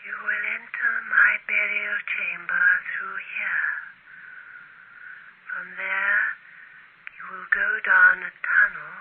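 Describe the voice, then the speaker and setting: An actress playing the solemn, commanding ghost of an ancient princess in an old radio drama, speaking formally and imperiously. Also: female voice, fairly low pitch. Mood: solemn farewell. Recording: noisy, tinny old radio broadcast.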